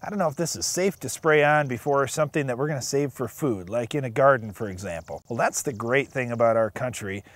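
A man's voice: medium pitch, brisk, hardly any pauses, a bit bright, no echo. Middle-aged male farm show co-host, plain explainer, dry recording. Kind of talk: scripted outdoor farm show chat. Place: outdoors in a field.

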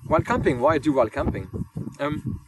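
A man, a hobby wild camper, thinking aloud in relaxed interview answers, pausing with lots of ums and restarting his sentences. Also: mid-range voice, very noisy. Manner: hesitant, many ums and restarts. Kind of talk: casual reflective interview answers. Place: outdoors on moorland. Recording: distant voice under loud music.

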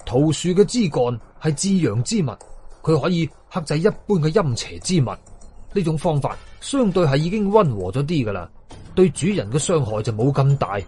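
A stern Taoist exorcist played by a male storyteller in a horror audio story, with a husky voice and Hong Kong Cantonese accent. He is learned and commanding, intoning his words like incantations. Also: fairly high pitch, sing-song, normal speed, a little mellow, faint music behind. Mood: instructive.

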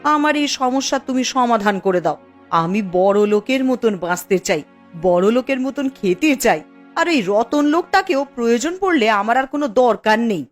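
A woman's voice: fairly high pitch, lively intonation, normal speed, faint music behind, close to an everyday voice. A woman voicing a discontented, ruthless village wife in a cartoon, dramatic and scheming. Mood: bitter complaining. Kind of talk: Bengali fairy-tale cartoon voice acting.

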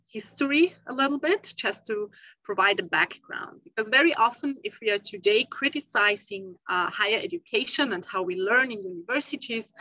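A woman's voice: mid-range voice, sharp tone, no room sound. A woman from Austria with an Austrian German accent, giving an academic talk on a video call, thoughtful and full of ums. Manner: thoughtful, many ums and uhs. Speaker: conference panelist. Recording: dull lo-fi video call audio.

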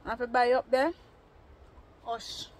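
A woman's voice: a little high, level tone, a little slow. A young woman chatting casually to her viewers in a cooking vlog, filming herself on a phone outdoors. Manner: chatty, talks straight to viewers while eating. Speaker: vlogger. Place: outdoors under a tin-roofed shed in a yard. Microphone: phone held at arm's length.

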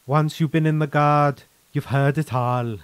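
A man's voice: fairly high pitch, steady tone, unhurried, clear, resonant tone, no room sound, lightly acted. A man voicing a brash, defensive former soldier in a story, with a folksy, teasing manner. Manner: folksy, teasing, calls listener lad and boyo. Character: brash, defensive male ex-guardsman turned defector, cheerfully chiding. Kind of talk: short story character reading.

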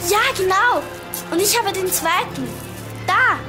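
A woman playing an excitable, easily frightened little girl detective who repeats her words in a children's audio drama. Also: extremely high pitch, unhurried. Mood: uneasy. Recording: loud music behind the voice.

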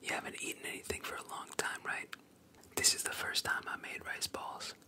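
A storyteller voicing an earnest, hesitant little girl in a soft whisper, as in a manga retelling. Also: fairly quick. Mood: shy. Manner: hesitant, pleading.

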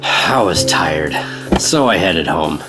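An actor playing a world-weary, hard-boiled government special agent from the 1950s, in clipped noir radio drama style. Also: male voice, sits low, expressive, takes it slowly, full character voice. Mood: weary, brooding. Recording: loud music bed under voice.

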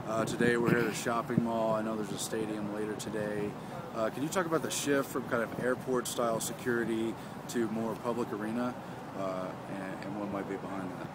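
A man's voice: fairly low pitch, steady tone, fairly quick. A man interviewing someone outdoors, politely asking questions. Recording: loud outdoor traffic noise.

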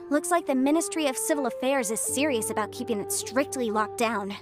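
A woman's voice: high-pitched, moderate pace, over music, close to an everyday voice. A woman playing a confident young legal advisor in a video game, talkative, breezy and articulate. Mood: casual. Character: chatty, confident young female half-immortal legal advisor.

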